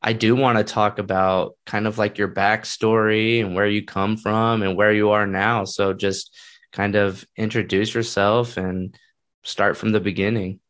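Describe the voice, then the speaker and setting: A man's voice: fairly low pitch, flat delivery, a little slow, a bit bright. Rambling American man interviewing. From United States. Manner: rambling, enthusiastic, many restarts. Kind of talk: casual interview chat.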